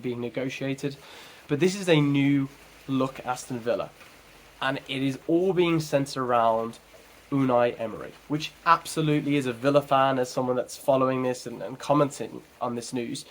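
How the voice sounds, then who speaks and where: A man's voice: mid-range voice, slight background noise, rough recording. A man hosting a fan channel, talking casually on his own, often correcting himself mid-sentence. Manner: chatty, self-correcting, rambling. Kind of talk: casual solo commentary.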